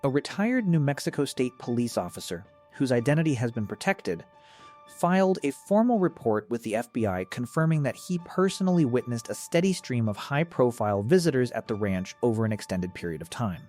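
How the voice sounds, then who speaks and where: A man's voice: medium pitch, very animated, a little fast, a little mellow, soft background music. A man reading scripted documentary-style narration in a grave, dramatic manner.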